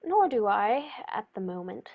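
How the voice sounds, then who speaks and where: A woman playing a composed, courteous male detective with formal, measured phrasing in a radio play. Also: mid-range voice, very animated. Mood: brisk. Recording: dull lo-fi recording.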